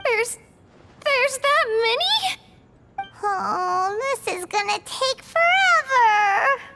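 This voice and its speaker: A woman voicing a tiny, excitable, childlike companion creature in a video game, with a squeaky voice. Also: extremely slow, heavy background noise, exaggerated voice. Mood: whiny, dismayed. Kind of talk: scripted video game dialogue.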